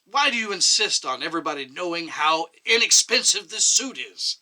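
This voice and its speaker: A man's voice: fairly high pitch, sing-song, normal speed, sharp tone, voice pushed up, heavily acted, poor audio. A man voicing a stern, sarcastic commission chairman who questions pointedly and overbearingly in a short story reading. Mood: impatient, probing.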